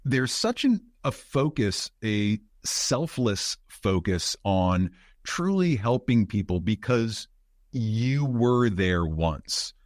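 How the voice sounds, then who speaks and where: Male podcast host, lowish voice, dry close sound, reflective, articulate, conversational. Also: dry recording. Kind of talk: conversational interview.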